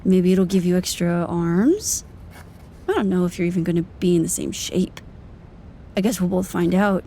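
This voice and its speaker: A woman's voice: on the low side, animated, normal speed, mellow, a little hiss or hum. A woman acting in an audio drama as someone whimsically muttering to herself in a casual, rambling way. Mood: musing, playful.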